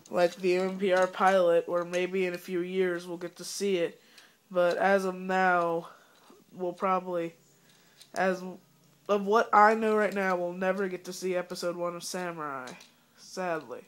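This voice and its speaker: A young fan reviewer talks through a cold, with coughs breaking in. He rambles casually and fills the gaps with "uh" and "like". His tone stays disappointed the whole way. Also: medium pitch. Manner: rambling, disappointed, many fillers. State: sick, coughing.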